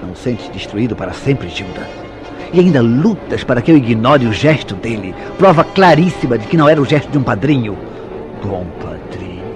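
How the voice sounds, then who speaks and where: An actor playing a jealous, tormented lover in a radio soap opera, accusatory and declamatory, with formal diction and a Brazilian accent. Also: male voice, medium pitch, natural voice. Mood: bitter, wounded. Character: jealous, tormented male lover interrogating his beloved. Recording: radio drama with prominent background music.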